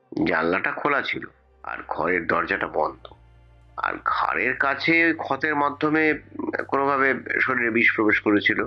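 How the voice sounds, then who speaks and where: A man's voice: medium pitch, vocal fry, lo-fi sound, full character voice. A man playing a police investigator in an audio story, emphatic and explanatory, defensive about a case that baffles him. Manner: emphatic, explanatory, with rhetorical questions.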